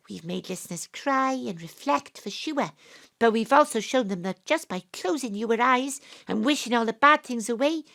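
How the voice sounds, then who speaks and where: A woman's voice: on the high side, vocal fry, a bit bright. An actress playing a plucky, eager young woman investigator in an audio drama, full of exclamations.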